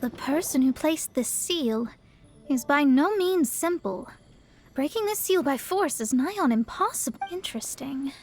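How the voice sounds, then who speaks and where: A proud young astrologist. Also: female voice, high voice, animated, unhurried, slight background noise. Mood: composed. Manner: haughty, stammers when cornered. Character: proud young female astrologist, haughty yet flustered. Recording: game voice track over soft music.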